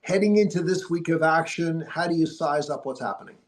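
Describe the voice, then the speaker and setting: A man with a somewhat high voice hosts a sports podcast from a TV studio. He sounds stuffy from a cold, but he talks with an upbeat, polished broadcaster's delivery. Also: fairly quick. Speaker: podcast host. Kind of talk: casual sports podcast chat.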